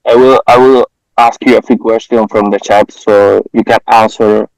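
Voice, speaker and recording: A man's voice: on the low side, fairly even intonation, normal speed, gravelly, no echo, rough recording. A man moderating an online academic conference, relaying questions from the audience to the speaker.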